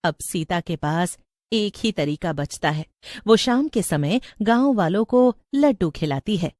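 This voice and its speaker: A woman's voice: fairly low pitch, animated, measured pace, darkish tone, no echo, studio quality. A woman narrating a scripted story as the storyteller.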